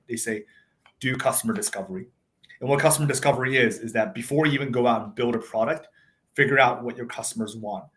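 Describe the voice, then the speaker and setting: A man's voice: mid-range voice, fairly bright tone. A man in his thirties, born in Taiwan and raised in South Africa, telling his story as a startup founder in a casual interview, chatty with frequent fillers. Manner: conversational, many fillers and restarts. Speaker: tech startup CEO, guest.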